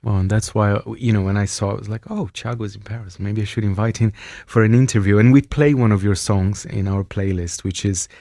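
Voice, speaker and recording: Enthusiastic low-voiced male radio interviewer. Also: steady tone, fairly quick, hardly any pauses, no room sound, studio quality. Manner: enthusiastic, chatty, warm questions with tag "right?".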